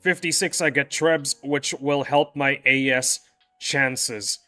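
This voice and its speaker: Young male streamer; mid-pitched, rambling and casual; faint music bed. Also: fairly even intonation, takes it slowly, bright, forceful tone. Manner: rambling, loose, often restarts sentences. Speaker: game streamer. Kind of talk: casual livestream chat with viewers. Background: faint background music.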